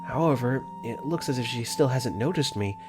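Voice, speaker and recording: A man narrating his own story in first person, earnest and quick to get flustered. His pitch moves a lot as he tells it. Soft music plays behind him. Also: expressive. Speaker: narrator and lead in fan dub.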